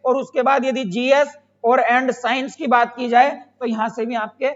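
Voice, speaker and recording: Guy teaching exam prep, really high voice, keeps repeating and quizzing then answering himself, muffled lo-fi audio. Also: level tone, moderate pace, fairly bright tone. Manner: repeats phrases, asks and answers own questions. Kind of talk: instructional explanation to students. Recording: dull lo-fi sound.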